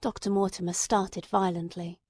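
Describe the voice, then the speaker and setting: A woman's voice: mid-range voice, flat delivery, moderate pace, a little mellow, no echo. A woman reading scripted storybook narration as an audiobook narrator.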